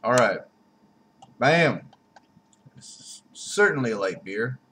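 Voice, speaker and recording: A man's voice: medium pitch, very animated, slow, a little hiss or hum. A man, reviewing a beer to a webcam at home in a blunt, sarcastic way. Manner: blunt, sarcastic, jumpy in loudness. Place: small room at home, indoors. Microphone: webcam at desk.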